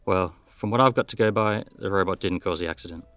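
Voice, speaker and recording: An actor playing a brisk, matter-of-fact male detective in a scripted audio drama, businesslike and plain-spoken. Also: low voice, fairly bright tone, no room sound, faint music behind. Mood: firm.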